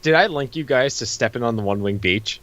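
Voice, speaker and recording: A man's voice: mid-range voice, animated, fairly quick, a bit bright, highs cut off. A man chatting casually and comically as the co-host of a read-along. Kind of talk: casual comedic chat.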